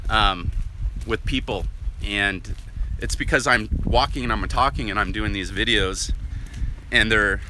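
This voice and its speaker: A man vlogging while walking outdoors. He talks loudly and casually, with no microphone on, and keeps tossing in "right?". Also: fairly low pitch, a little slow, a little hiss or hum, low-quality recording. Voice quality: loud voice. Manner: rambling, chatty, often asks "right?". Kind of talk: casual walk-and-talk monologue. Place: outdoors on a residential street, walking. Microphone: no worn mic, camera or phone at hand.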